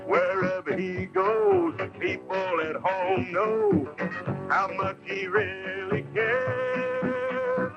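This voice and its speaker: A man's voice: very high voice, wildly swooping pitch, drawn-out words, gravelly. An American man singing a country-style advertising jingle. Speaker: jingle singer. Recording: dull lo-fi 1980s radio broadcast. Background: loud music.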